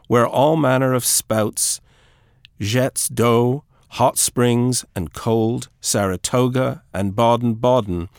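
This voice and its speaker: A man reading a literary audiobook aloud in a measured, articulate, formal manner. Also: medium pitch, clean tone, dry recording, studio quality. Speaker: audiobook reader. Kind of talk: scripted literary narration, read aloud.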